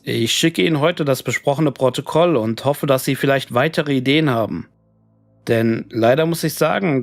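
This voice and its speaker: Gravelly man narrating a horror story over music. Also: mid-range voice, no room sound. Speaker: narrator reading horror stories. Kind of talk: scripted creepypasta audiobook narration of emails and diary entries.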